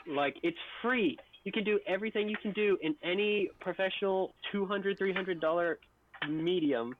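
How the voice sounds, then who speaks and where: A man's voice: high voice, moderate pace, slight background noise. A man chatting informally on a livestream, explaining things and giving his opinions. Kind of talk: casual livestream chat. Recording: thin, phone-like voice call sound.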